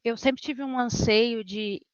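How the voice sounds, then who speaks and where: A woman's voice: on the high side, fairly even intonation, slow, clean tone, no room sound. A woman in her thirties with a Brazilian Portuguese accent, telling her own experience informally as a guest on a dull recording. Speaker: guest, engineer. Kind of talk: informal personal account. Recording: dull, lo-fi audio.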